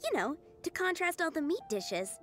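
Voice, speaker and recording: A woman's voice: extremely high pitch, huge pitch swings, moderate pace, a bit bright, soft background music, exaggerated voice. A woman voicing a polite, cheerful young waitress in a video game, courteous and lightly playful.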